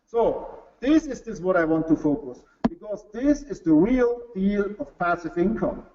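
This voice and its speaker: A German-accented man with a fairly high voice presents to a webinar audience, earnest and halting as he restarts his non-native English. The audio is dull and lo-fi. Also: lively intonation, mellow, a little hiss or hum. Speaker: company executive presenting to a webinar.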